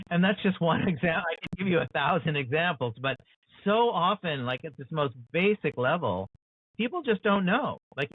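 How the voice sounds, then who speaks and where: An American man talks earnestly as an author in an interview, leaning on "you know" as he builds his point. His voice sits at an ordinary middle pitch. The sound is dry and thin, like a narrow phone line from a video call. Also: dry recording. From United States. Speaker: author guest.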